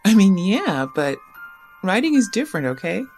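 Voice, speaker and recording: A woman's voice: medium pitch, big pitch swings, normal speed, darkish tone. An actress playing a shy, guarded young poet who talks hesitantly and self-consciously, in an audio drama with music under her. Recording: music score clearly under the voice.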